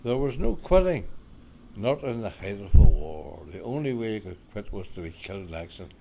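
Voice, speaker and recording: A man playing an elderly war veteran grandfather in a radio drama, sombre and bitter, speaking in a grave, reflective, deliberate way. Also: lowish voice, big pitch swings, creaky, mellow, a little hiss or hum. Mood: grim. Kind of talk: amateur radio drama monologue.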